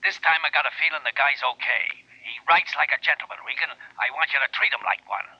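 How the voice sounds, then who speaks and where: An actor in 1940s radio drama playing a gruff, penny-pinching detective agency boss, raspy and nagging through a telephone filter. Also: male voice, mid-range voice, a little fast, no echo, highs cut off, full character voice. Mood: insistent. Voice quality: gravelly, raspy, sharp. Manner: gruff, nagging, self-pitying.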